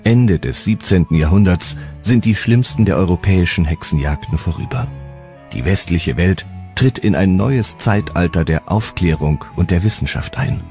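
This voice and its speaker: A man with a deep, low voice narrates a historical documentary. His delivery is scripted and dramatic, with music clearly playing underneath. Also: dark tone.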